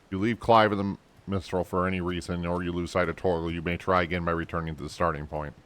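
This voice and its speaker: A man's voice: deep voice, level tone, quick pace, fairly bright tone. A man who streams games, reading on-screen game text aloud. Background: game music.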